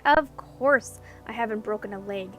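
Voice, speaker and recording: A woman voicing a sharp-witted, impatient young princess in a storybook, brisk, dry and exasperated. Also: medium pitch, distant mic, soft background music, close to an everyday voice. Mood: cross.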